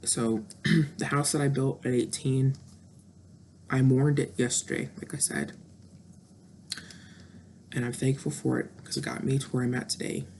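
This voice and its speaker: A woman's voice: rumbling low, fairly even intonation, unhurried, pauses often, a little mellow, a little hiss or hum. A woman in her thirties talking candidly and introspectively in a reflective personal monologue, with frequent fillers. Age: 30s. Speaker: vlogger.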